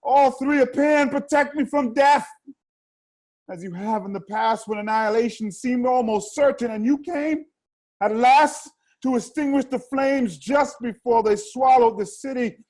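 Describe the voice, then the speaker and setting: A terrified tragic chorus in prayer. The voice is extremely high for a man and moves very slowly. It pleads with fervent, incantatory declamation, as in a dramatic play reading. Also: a little mellow. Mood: fearful, pleading. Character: terrified, pleading Theban chorus praying to the gods.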